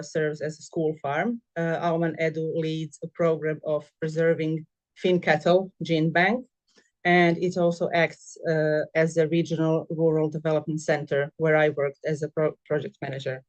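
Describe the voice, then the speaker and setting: A middle-aged woman from Croatia with a Croatian accent gives an informal presentation of her own work, pausing and searching for words as she goes. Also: deep voice, darkish tone, dull audio. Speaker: compost practitioner and agricultural school project manager, presenting.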